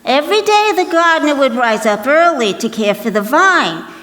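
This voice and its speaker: A woman's voice: sits high, animated, normal speed, fairly bright tone, long reverb tail. A woman playing a brash, grumpy, wisecracking substitute storyteller in a comic children's skit. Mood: theatrical narrating. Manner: sassy, exasperated, theatrical reading.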